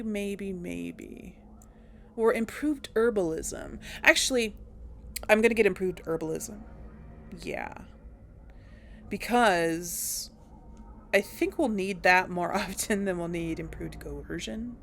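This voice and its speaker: A woman's voice: on the low side, creaky, slight background noise. A woman hosting a casual game playthrough, talking in a rambling, hesitant way with many fillers and restarts. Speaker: let's play host. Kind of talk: casual gameplay commentary.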